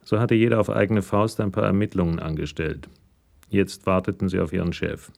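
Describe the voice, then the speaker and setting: A man narrating a scripted crime radio play in a measured, matter-of-fact storytelling manner. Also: sits low, level tone, gravelly, a little mellow.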